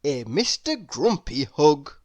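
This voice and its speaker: A woman narrating a children's story in an expressive, emphatic read-aloud style. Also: low-pitched, takes it slowly, no echo. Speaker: storybook reader.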